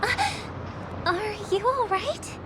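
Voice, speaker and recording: An actress playing a kind, gracious young woman in a video game, speaking gently and soothingly. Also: very high-pitched, big pitch swings, takes it slowly, heavy background noise, full character voice. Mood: kind, reassuring.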